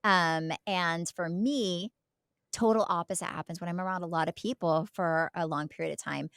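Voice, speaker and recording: A woman's voice: lowish voice, fairly even intonation, normal speed, a bit bright, clean tone, dry recording, studio quality. A woman co-hosting a podcast in casual, candid conversation. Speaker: podcast co-host.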